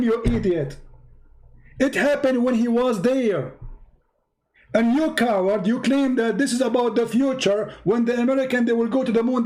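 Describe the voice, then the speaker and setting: A high-voiced man whose first language is Arabic runs a heated debate. He repeats himself, interrupts and throws insults at his opponent. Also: darkish tone. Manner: aggressive, insulting, repetitive, interrupting. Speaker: debate host.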